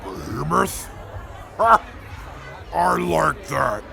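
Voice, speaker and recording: A man voicing a huge, boastful strongman with a boisterous, gravelly manner in an audio drama. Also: fairly high pitch, lively intonation, extremely slow, pushed and bright, some chatter behind, heavily acted. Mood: proud.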